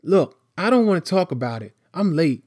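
A man's voice: on the high side, sing-song, moderate pace, darkish tone, dry recording, studio quality, pitched above the performer's own voice. A man voicing a nervous, defensive teenage boy in an audiobook, blurting slangy protests. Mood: agitated.

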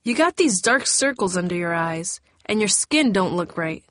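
A woman's voice: lowish voice, expressive, normal speed, fairly bright tone, dry recording. A woman narrator voicing a blunt, slangy teenage girl who is a close friend. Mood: pushy. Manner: brash, slangy.

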